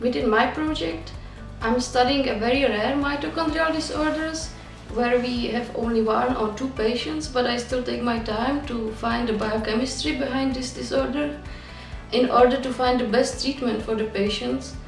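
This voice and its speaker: A young woman with a fairly high, gravelly voice speaks in an earnest, upbeat way. She sits back from the mic in an echoey room, and faint music runs underneath. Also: normal speed, far from the mic. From Czech Republic. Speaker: PhD researcher. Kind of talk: video testimonial.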